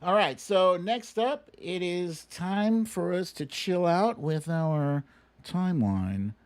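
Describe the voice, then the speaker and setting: Male livestream host, slightly high-pitched and unhurried. Conversational, playful emphasis, dramatic pauses. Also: animated. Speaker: host of a live political commentary show. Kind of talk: casual livestream commentary, reading viewer comments.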